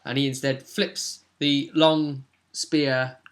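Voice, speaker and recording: A man's voice: medium pitch, little pitch movement, takes it slowly. A man talking casually through a tabletop roleplaying recap as game master, thinking aloud and checking with tag questions.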